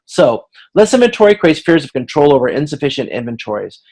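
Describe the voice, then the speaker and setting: A man working as an instructor explains material in an informal online lecture, often pausing and repeating words as he goes. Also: on the high side, big pitch swings, a little mellow. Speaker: university instructor.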